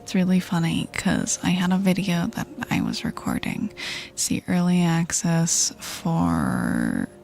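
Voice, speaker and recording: A woman with a deep voice streaming ASMR, soft-spoken and whispering, giggly and playful as she chats. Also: huge pitch swings, a little slow, creaky, faint music behind.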